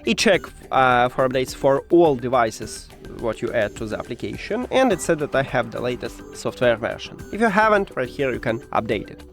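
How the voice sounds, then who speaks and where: A man who speaks English as a second language, presenting a step-by-step tech tutorial with hesitant, halting phrasing. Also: medium pitch, big pitch swings, vocal fry, fairly bright tone, faint music behind. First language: not English.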